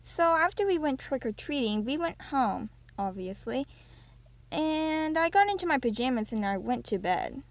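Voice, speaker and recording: A woman's voice: high-pitched, big pitch swings, moderate pace, dry recording. A young woman telling a story to her channel casually, rambling and breaking off with fillers. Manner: rambling, self-interrupting with fillers. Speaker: YouTuber.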